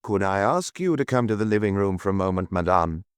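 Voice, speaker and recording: A man voicing a detective chief inspector in an audiobook, quiet, measured and persistent in his questioning. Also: low voice, expressive, clean tone, dry recording. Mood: polite, probing. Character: calm, probing male detective chief inspector.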